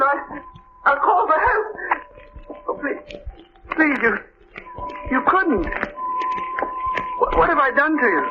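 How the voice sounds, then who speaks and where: A man's voice: fairly high pitch, lo-fi sound. An actor playing a kindly, easily frightened old male doctor in 1930s radio drama style, with a quavering, stammering voice. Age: older. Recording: scratchy old radio recording with music.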